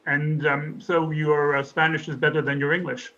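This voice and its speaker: A man's voice: on the high side, level tone, normal speed, fairly bright tone, dull audio. A man hosting an interview, chatty and enthusiastic, asking leading questions. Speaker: interviewer, show host.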